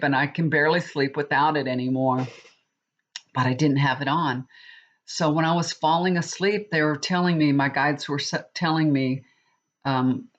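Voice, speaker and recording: Middle-aged woman, sniffly with a cold, rambling casual vlog chat, dull lo-fi sound. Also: low voice. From Texas. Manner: chatty, rambling, with many restarts. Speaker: tarot reader. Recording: dull lo-fi recording.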